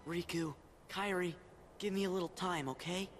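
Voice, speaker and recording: A man's voice: high-pitched, steady tone, moderate pace, lightly acted. Casting: male actor as loyal, sincere teen boy adventurer, youthful game hero, music underneath. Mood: earnest, pleading. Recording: music behind, quiet game playback.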